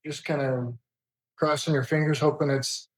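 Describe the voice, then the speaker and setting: A man's voice: a little high, fairly even intonation, normal speed, dry recording, poor audio. Man explaining in a dry, close recording. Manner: informal, explanatory, listing points. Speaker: interview guest, information security executive. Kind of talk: conversational expert explanation.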